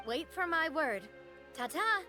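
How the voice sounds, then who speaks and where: A woman's voice: very high voice, expressive, a little slow, pushed and bright, heavily acted. An actress playing a breezy, self-assured young woman who leads a gang in a video game. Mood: confident, teasing. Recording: game music behind the voice.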